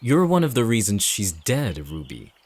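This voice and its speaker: An actor playing a cold, calculating villain in an audio drama, speaking in a measured, smug, menacing way. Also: male voice, fairly low pitch, wildly swooping pitch, a little mellow, no echo. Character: cold, calculating male villain leading an anti-shifter operation. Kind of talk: dramatic audio roleplay acting.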